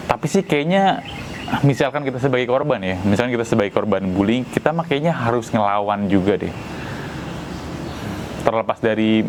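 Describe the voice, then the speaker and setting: Male vlogger, deeper voice, rambling casual commentary, noisy street background. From Tegal, Central Java. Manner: rambling, repeats his own phrases. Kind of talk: casual opinion monologue.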